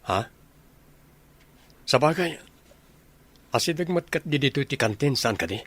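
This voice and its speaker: An actor playing a young soldier in a radio drama, talking in a casual, reassuring way. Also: male voice, on the high side, animated, unhurried, fairly bright tone. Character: easygoing young male soldier bound for deployment.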